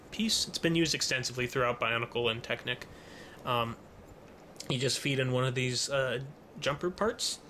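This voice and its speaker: Male hobbyist presenter, bright forward tone, casual halting tutorial delivery, noticeable background noise. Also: mid-range voice. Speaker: hobbyist presenter of a toy-building how-to.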